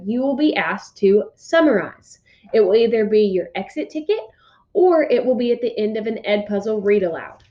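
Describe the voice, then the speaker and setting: A woman teacher giving instructions and reading aloud to pupils with emphatic, deliberate phrasing. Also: a little high, darkish tone, dull audio. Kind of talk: instructional read-aloud, scripted narration.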